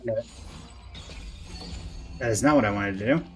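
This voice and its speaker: A man's voice: on the low side, moderate pace, soft background music. A man giving casual gameplay commentary, talking to himself as he plays. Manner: talks to himself while playing. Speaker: game streamer.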